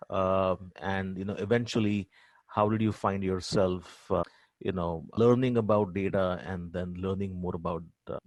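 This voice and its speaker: A middle-aged man hosting a podcast interview, asking halting, filler-heavy questions. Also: deep voice, a little mellow, no room sound. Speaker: podcast co-host, professor.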